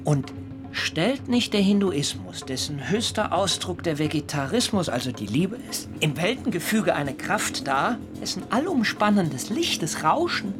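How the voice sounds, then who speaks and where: Fervent, eloquent vegetarian zealot, swooping delivery. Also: male voice, sits high, sing-song, unhurried, music in the background. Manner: eloquent, ornate, rhetorical. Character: earnest, zealous young German vegetarian and coconut prophet. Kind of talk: radio play acting.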